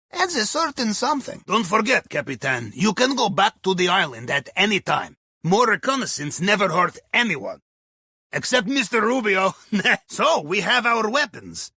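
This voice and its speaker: Russian submariner, game character, wry and courteous, husky, swooping intonation, chatty broken English. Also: male voice, sits high, sharp tone, dry recording, clean studio sound, exaggerated voice. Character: jovial, deferential Russian submariner with dry dark humour. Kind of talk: video game character dialogue.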